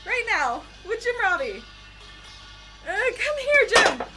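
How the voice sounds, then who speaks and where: A woman playing a flippant, excitable young adventurer in an audio drama, chatty and sarcastic. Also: extremely high pitch, slow, faint music behind, full character voice, low-quality recording. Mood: flippant, nervous.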